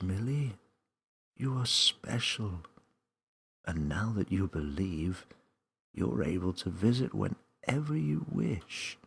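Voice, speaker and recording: A man voicing a strong, calming, wise spirit who speaks gently and solemnly in a children's story. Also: sits low, creaky, breathy edge, full character voice.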